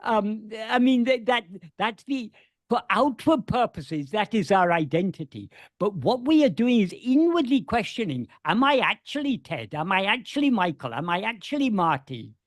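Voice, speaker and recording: A man explains a spiritual teaching over a video call in an earnest, searching way, often repeating words before going on. His voice sits high and swings widely in pitch. The sound is dry and close. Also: very animated, normal speed, no room sound. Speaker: spiritual teacher answering questions.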